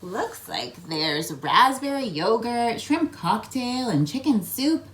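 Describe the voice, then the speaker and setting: A woman reading a children's picture book aloud in a playful, expressive way, prompting young listeners with questions. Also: fairly high pitch, slow pace, background noise. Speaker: children's read-aloud host.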